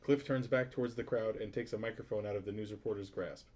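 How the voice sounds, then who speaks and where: A man's voice: fairly low pitch, steady tone, fast, darkish tone. Plain-voiced male narrator. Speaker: narrator reading stage directions. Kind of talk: scripted narration.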